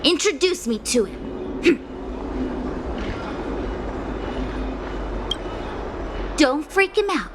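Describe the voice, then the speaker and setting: Smug-smart game diviner lady, fairly high voice, cool and cutting, noisy ambience behind. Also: sing-song, measured pace. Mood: self-assured. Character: shrewd, coolly blunt female diviner and commission head. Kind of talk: anime game voice acting.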